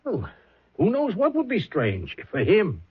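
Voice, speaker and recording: An actor playing a defensive, rough-spoken factory owner being questioned about a death. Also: male voice, high-pitched, wildly swooping pitch, a little slow, exaggerated voice. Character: gruff, defensive male factory boss. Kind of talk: 1970s radio drama acting. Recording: tinny old radio broadcast.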